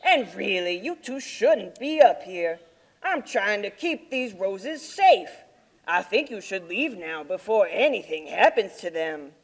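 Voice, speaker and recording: Woman as male Yeti, gentle, shy, hesitant, lowered voice, unhurried, puppet show. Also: sing-song. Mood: worried, firm. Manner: hesitant, mild-spoken. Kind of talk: children's puppet show acting. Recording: live puppet show performance.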